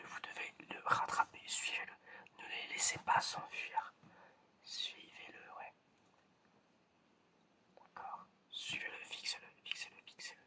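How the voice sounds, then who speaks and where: A man speaking in a breathy, unvoiced whisper, playing an examiner in an ASMR roleplay with hesitant, repetitive, teasing patter.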